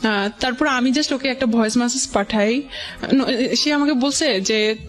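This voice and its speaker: A woman's voice: fairly high pitch, unhurried, soft background music. A young woman with a Bangladeshi accent telling a personal story conversationally as a guest, hesitantly, with English words mixed in and quoted dialogue. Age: young adult. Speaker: guest sharing life story.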